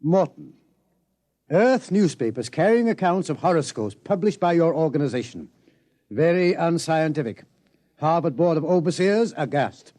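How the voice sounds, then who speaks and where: A man's voice: on the high side, animated, normal speed, mellow, close to an everyday voice. An actor playing a prim, formal male observatory director who gets flustered, in a 1950s radio drama. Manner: formal, flustered, stammers when upset.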